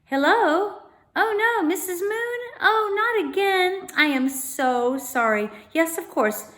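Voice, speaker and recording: Woman acting storybook mum, polite, flustered, apologetic, telephone manner, lifted pitch. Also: animated, slow, a bit bright, full character voice. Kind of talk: children's storybook character voice.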